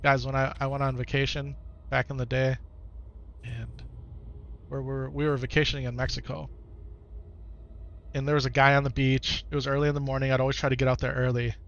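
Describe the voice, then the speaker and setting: A man's voice: medium pitch, flat delivery, brisk, gravelly, pauses often, a bit bright, muffled recording. A man with an Upper Midwest American accent, chatting casually to his viewers during a live game stream and often repeating himself. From Wisconsin, United States. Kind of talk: casual live-stream chat over gameplay.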